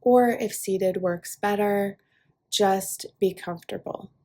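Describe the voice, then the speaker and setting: A woman leads a guided meditation as a yoga nidra teacher. She speaks very slowly and soothingly, resting between short phrases. Her voice is soft and breathy, with an airy edge to the words. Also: mid-range voice. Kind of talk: guided relaxation meditation, speaking softly to listener.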